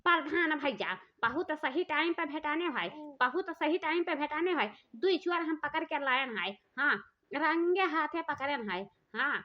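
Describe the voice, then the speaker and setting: A woman's voice: high-pitched, expressive, unhurried. A woman playing an angry, scolding village farmer in a comic cartoon, speaking with an eastern Uttar Pradesh accent and repeating herself. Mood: accusing. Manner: scolding, repetitive, confrontational. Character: angry village woman farmer accusing thieves of stealing peanuts. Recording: dull lo-fi audio.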